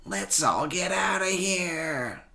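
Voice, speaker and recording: Greedy, easily scared raptor for a picture book performed by a man, lifted pitch, wailing alarm. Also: expressive, takes it slowly, creaky, sharp tone, heavily acted. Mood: frightened. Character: frightened, greedy raptors in a storybook.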